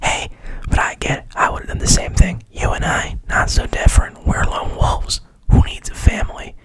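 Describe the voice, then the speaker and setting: A man voicing a vengeful, grumpy male bear in a hushed whisper, sneering and foul-mouthed. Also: normal speed, close to an everyday voice. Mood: smug.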